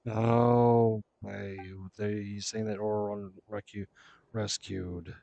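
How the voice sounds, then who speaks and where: A man's voice: fairly low pitch, flat delivery, takes it slowly, creaky, warm tone, dry recording, highs cut off. A man giving casual gameplay commentary in short muttered asides as he plays. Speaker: video game player. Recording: faint game music behind.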